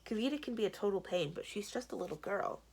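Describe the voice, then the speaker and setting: A woman's voice: medium pitch, lively intonation, quick pace, darkish tone, slightly rough, pitched above the performer's own voice, low-quality recording. A woman voicing a worried, defiant boy who protects his little sister, terse and insistent, in a children's storybook. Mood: demanding. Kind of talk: children's book read-aloud.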